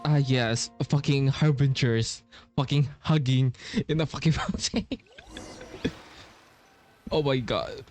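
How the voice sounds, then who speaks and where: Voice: medium pitch, slow pace, pauses often, a little mellow. A streamer giving casual, sweary, reactive gameplay commentary in a laid-back way. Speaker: streamer playing a game and reading lines aloud. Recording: game music behind the voice.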